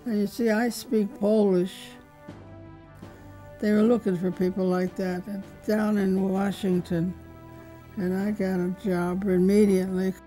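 A woman's voice: mid-range voice, flat delivery, a little slow, dark tone, faint music behind, poor audio. An older woman telling her wartime memories in a plainspoken, blunt way during a sit-down interview at home. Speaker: interviewee, veteran. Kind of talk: documentary interview recollection. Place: living room with drapes.

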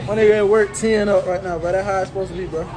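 A man's voice: sits high, fairly even intonation, very fast, noisy background. A young man vlogging on a crowded beach, talking hyped and slangy to his phone camera. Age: young adult. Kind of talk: casual vlog chat. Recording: loud music and crowd behind. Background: loud music and crowd.

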